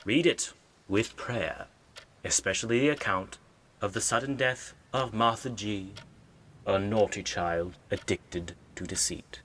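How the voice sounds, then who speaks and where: A man's voice: on the low side, unhurried, fairly bright tone, a little hiss or hum. A man playing a pompous, preachy, severe clergyman schoolmaster in an audio drama. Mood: solemn, admonishing. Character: stern, sanctimonious clergyman schoolmaster.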